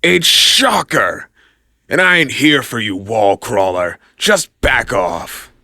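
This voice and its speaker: A man voicing a gruff, irritable supervillain brawler in an audio comic, curt and threatening. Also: medium pitch, sing-song, slow, pushed and bright, dry recording, clean studio sound, full character voice. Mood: irritated, threatening. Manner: curt, threatening, street-tough. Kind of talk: audio comic drama acting.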